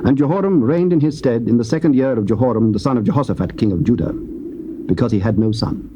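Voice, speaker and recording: A man's voice: medium pitch, fast. Solemn British male narrator, noisy old tape. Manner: formal, solemn storytelling. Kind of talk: scripted dramatic scripture narration, archaic English.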